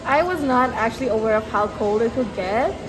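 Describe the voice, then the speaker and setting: A woman's voice: fairly high pitch, lively intonation, fairly quick, music in the background, rough recording. Chatty young woman from Nepal. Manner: chatty, laughing easily. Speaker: international student. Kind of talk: interview soundbite.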